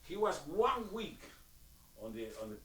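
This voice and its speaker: A man's voice: high-pitched, very animated, unhurried, a bit bright, reverberant. Middle-aged guy, spanish is his first language, broken english, pushy and emphatic, just chatting. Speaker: friend in a casual chat. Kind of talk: casual chat.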